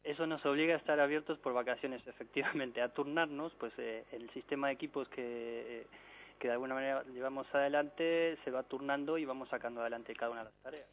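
A man from Argentina with a mixed Argentine and Spanish accent, an architect answering interview questions by phone and talking haltingly, with many fillers and restarts. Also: mid-range voice, steady tone. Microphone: telephone. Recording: tinny phone line with faint hiss.

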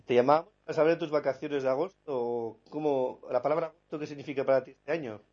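Man, radio host, chatty questions, middle pitch, dull lo-fi audio. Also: fast talker, darkish tone. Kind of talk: radio talk and phone interviews.

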